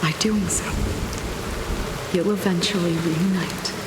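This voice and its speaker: A woman playing a calm, enigmatic guide of death in a game cutscene, speaking solemnly and softly with breathy murmurs. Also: on the low side, very noisy. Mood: gentle, solemn. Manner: solemn, measured, soft-spoken with breathy murmurs. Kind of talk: dramatic game cutscene acting.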